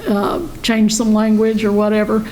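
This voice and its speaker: A woman's voice: mid-range voice, completely flat delivery, normal speed, darkish tone, rough recording. A woman board member explaining things off the cuff at a public board meeting. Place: indoor meeting room. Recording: faint background noise in meeting room.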